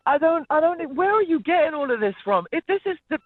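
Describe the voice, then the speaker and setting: English male caller, notably high-pitched voice, informal, dull phone-line sound. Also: big pitch swings, quick pace, no room sound. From England. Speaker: phone-in guest. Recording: dull, band-limited phone line on radio.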